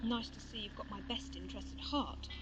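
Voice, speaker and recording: A woman's voice: medium pitch, brisk, close to an everyday voice. A woman playing a plucky young captive in an audio drama, sarcastic and protesting. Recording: clearly noisy drama recording.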